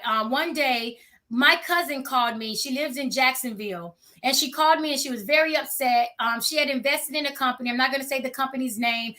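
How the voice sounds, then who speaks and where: A woman's voice: sits high, pushed and bright. A young woman, a company founder, answering interview questions earnestly, often saying you know. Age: young adult.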